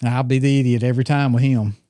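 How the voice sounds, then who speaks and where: A man's voice: medium pitch, fairly even intonation, fast, warm tone, dry recording, studio quality. A man around sixty, co-hosting a hunting podcast in casual, rambling, teasing chat. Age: 60s. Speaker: podcast co-host.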